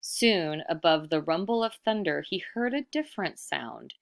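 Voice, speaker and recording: A woman reading a children's picture book aloud expressively, pausing for casual comments and questions. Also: lowish voice, lively intonation, creaky. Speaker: storybook reader.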